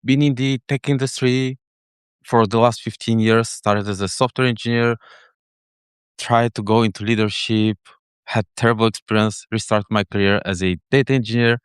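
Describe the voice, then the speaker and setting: Low, smooth Bulgarian-accented man. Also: fairly even intonation, moderate pace, no room sound. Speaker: interview guest, head of data engineering. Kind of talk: casual explanatory chat.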